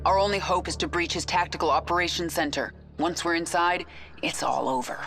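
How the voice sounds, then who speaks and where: A woman's voice: on the low side, a little fast. An actress playing a cold, decisive female queen of an alien swarm who gives commands. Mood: commanding. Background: loud game music.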